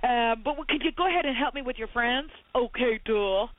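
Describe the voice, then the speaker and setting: A woman from Barbados with a high, bright voice, arguing her case with punchy emphasis and talking over her co-host. She comes through a narrow, tinny phone line. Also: fairly quick. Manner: emphatic, animated, talks over others. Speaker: podcast co-host, resume writer. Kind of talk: heated casual debate. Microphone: phone call.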